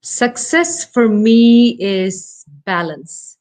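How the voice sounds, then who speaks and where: A woman whose first language is Malayalam, speaking as an interview guest from her home over a remote call. She talks thoughtfully and modestly, pausing and restarting with fillers as she searches for the right words. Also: medium pitch, sing-song, a little mellow. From India. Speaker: guest, startup CEO. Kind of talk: casual interview talk.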